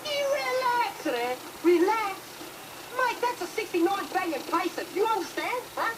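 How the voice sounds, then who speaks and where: A brash, mocking bloke trades insults in a roadside car row, all cheek and sarcasm. His voice is quick and lively. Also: extremely high pitch, recorded from a distance, very noisy. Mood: indignant. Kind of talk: film comedy dialogue.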